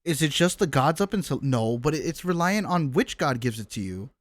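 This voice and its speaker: Higher-voiced man rambling on a livestream. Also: expressive, brisk, dry recording. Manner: enthusiastic, rambling, repeats phrases. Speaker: live game streamer. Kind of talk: casual livestream chat and reaction. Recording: stream with game music behind. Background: game music.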